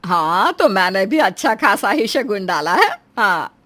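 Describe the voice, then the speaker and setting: A woman's voice: medium pitch, very animated, unhurried, sharp tone. A woman voicing a proud middle-aged mother-in-law in a cartoon story, dramatic, long-suffering and given to scolding. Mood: sociable, proud. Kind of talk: Hindi moral story cartoon dialogue.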